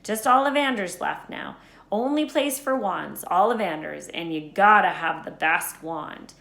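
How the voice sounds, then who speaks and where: A gruff, protective storybook man, acted out by a woman reader, speaks bluntly and with folksy emphasis. The pitch is pushed up and loud for the reader, and the room rings with echo. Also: lively intonation, a little slow, full character voice. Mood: generous, excited. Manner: emphatic, folksy, dropped words. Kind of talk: children's storybook character voice. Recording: echoey room.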